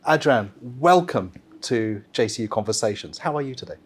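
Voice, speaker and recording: A man's voice: medium pitch, animated, moderate pace, slightly rough. A man hosts a university podcast with a mid-range voice. His questions come out in formal, polished phrasing, often lavish with praise. He steers the interview steadily from one topic to the next. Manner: formal, effusive. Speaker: podcast host, professor.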